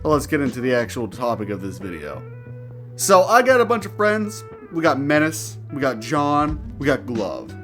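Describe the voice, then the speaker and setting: A man's voice: mid-range voice, big pitch swings, normal speed, soft background music. A man hosting a gaming channel, casually telling rambling anecdotes with playful crude slang and silly nonsense words. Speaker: gaming storyteller and channel host.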